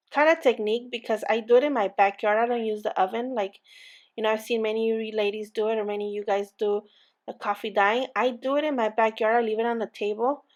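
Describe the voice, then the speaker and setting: A woman with an accent hosting a casual crafting tutorial, chatty and rambling with many fillers and restarts. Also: a little high. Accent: non-native English accent. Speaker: crafting channel host.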